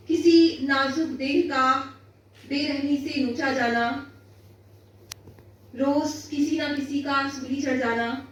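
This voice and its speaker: Slow, high-voiced woman poet in echoey room. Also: fairly bright tone. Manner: solemn, emphatic repetition of lines. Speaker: poet reciting own poems. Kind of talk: Hindi poetry recitation before listeners. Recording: roomy echo, distant mic, faint noise.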